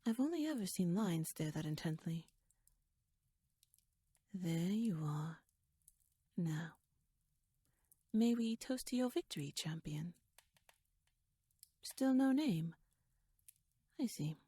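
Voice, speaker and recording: A woman playing a shrewd, teasing royal noblewoman in an ASMR audio roleplay, speaking softly in a wry, courtly, measured way that slips toward a whisper. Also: on the low side, lively intonation, husky, no room sound, clean studio sound, exaggerated voice. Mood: teasing, poised.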